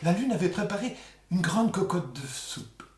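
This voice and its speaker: A man's voice: high voice, very animated, moderate pace. A man telling a folk tale aloud in a theatrical, colloquial oral storytelling style. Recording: echoey room.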